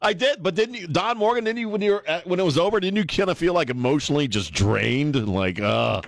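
A man hosting a radio talk show, chatting casually in an animated, rambling, jokey way. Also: fairly high pitch, fast, vocal fry, no echo. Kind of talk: casual live radio chat. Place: radio studio. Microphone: close studio microphone.

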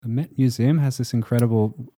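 A man, a musician guest, talking in a relaxed and hesitant way and laughing often. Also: mid-range voice, a little fast, no echo, clean studio sound. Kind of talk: casual interview.

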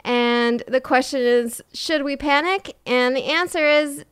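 A woman's voice: high-pitched, a little slow, fairly bright tone. A woman host explaining a science topic in casual, playful, jokey podcast chat.

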